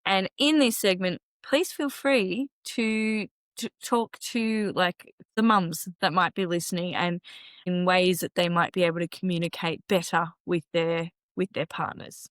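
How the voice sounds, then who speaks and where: A woman's voice: fairly low pitch, steady tone, a little slow, dry recording. An Australian woman in her thirties hosting a podcast, chatty and good-humored as she asks questions and tells stories. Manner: chatty and warm-humored, laughs while telling stories. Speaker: podcast host. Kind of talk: casual podcast interview.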